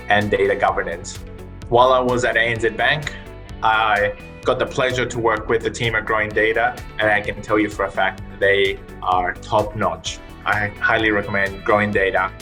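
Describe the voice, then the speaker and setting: A man's voice: lowish voice, bright, forceful tone. A man hosting a podcast, addressing listeners and guests in a presenting style. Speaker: podcast host. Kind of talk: sponsor reads and panel hosting.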